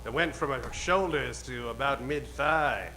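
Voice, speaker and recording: A man's voice: medium pitch, unhurried, pushed and bright, slight background noise. A man playing the narrator of a noir radio spoof, setting the scene like a storyteller in old-time radio style. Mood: wry storytelling.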